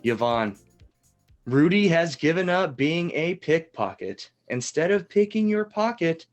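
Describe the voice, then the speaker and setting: An actress as a game show host in a comedy parody, chatty and reassuring. The medium-low voice for a woman draws out key words for suspense over a faint music bed. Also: big pitch swings, unhurried. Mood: upbeat, reassuring.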